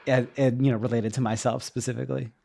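A man's voice: mid-range voice, level tone, fast talker, creaky, mellow, dry recording. A middle-aged man talking reflectively in a conversational interview, in a hesitant, thoughtful, self-questioning manner. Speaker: podcast guest, web developer and educator.

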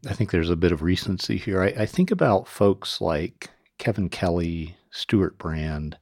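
A man hosting a conversational interview, musing in a measured way and choosing his words carefully. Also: sits low, lively intonation, darkish tone, no echo, clean studio sound. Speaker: podcast host.

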